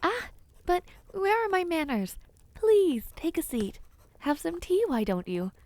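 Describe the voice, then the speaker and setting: A woman voicing a kindly, whimsical witch in an audio roleplay, talking to the listener in a gentle, theatrical, chatty way with airy hums. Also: very high voice, very animated, a little slow, dry recording, exaggerated voice. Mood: playful, welcoming. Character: kindly, whimsical, ancient witch, flustered caring hostess.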